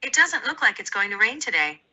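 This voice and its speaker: A woman's voice: fairly high pitch, very animated, brisk, background noise. A synthetic woman's voice reading out as a phone assistant, clipped and impersonal. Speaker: phone voice assistant and screen reader. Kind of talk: synthetic readout.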